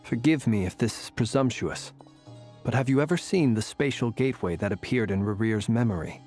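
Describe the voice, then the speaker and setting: An actor playing a courteous, analytical gentleman in a fantasy game, speaking politely and deliberately. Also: medium pitch, faint music behind, natural voice. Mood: politely probing.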